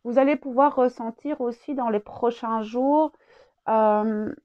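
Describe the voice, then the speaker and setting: A woman's voice: on the high side, measured pace, highs cut off. A French woman with a head cold, channeling a spiritual message, hesitant with many fillers and repeated words.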